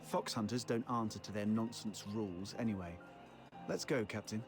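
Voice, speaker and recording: An actor playing a brash, loyal young fox hunter in a video game, with cocky, teasing bluster. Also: male voice, on the low side, moderate pace, music in the background, natural voice. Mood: defiant.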